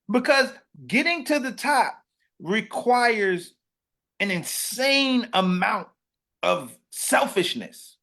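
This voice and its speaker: A man in his late thirties holds forth in a casual interview, his voice sitting high and swinging widely. He preaches his points with sudden loud bursts that sink into near whispers. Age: 30s. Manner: animated, preachy, swings between loud and hushed. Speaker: podcast host.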